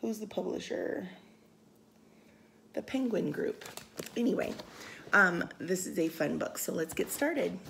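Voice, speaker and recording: A woman reading a picture book aloud for storytime, expressive and sing-song, with casual asides. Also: lowish voice, a little slow, vocal fry, pauses often, a little hiss or hum. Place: indoors, room by a window.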